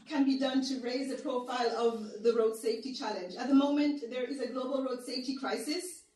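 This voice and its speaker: High-voiced woman moderator in an echoey room. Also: slight background noise. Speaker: moderator of a panel webinar. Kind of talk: hosting a formal online panel discussion. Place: indoor room on an online call. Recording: very echoey, distant from the mic.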